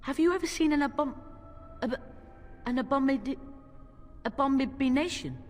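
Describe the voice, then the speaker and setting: High, stammering nervous girl played by a woman. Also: lightly acted. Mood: fearful. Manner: halting, stammering. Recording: long hall reverb.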